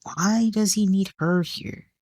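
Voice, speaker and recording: Woman performing proud gracious female singer, audio drama, composed, unhurried, dry close sound. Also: medium pitch, darkish tone, no room sound, voice pushed up. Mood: wary, suspicious. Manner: composed, measured, polite. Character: poised proud singer, outwardly gracious, inwardly wary woman.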